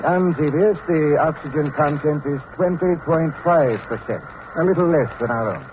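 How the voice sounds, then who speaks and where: An actor playing a calm, learned professor in a vintage radio drama, explaining in a measured way on a muffled, noisy recording. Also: male voice, fairly high pitch, lightly acted. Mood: explanatory.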